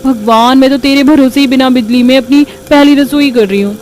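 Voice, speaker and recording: A woman's voice: high-pitched, steady tone, normal speed, a little mellow, a little hiss or hum, voice pushed up, close to an everyday voice. A woman voicing an anxious, plaintive young bride who exclaims in distress in a cartoon.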